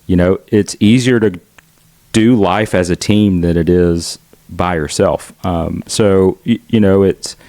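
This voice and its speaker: Middle-aged man, Southern accent, lowish voice, dry close sound, outgoing and chatty. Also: unhurried, dark tone, dry recording. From United States. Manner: outgoing, chatty, laughs while telling stories. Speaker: podcast guest. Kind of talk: casual conversational storytelling.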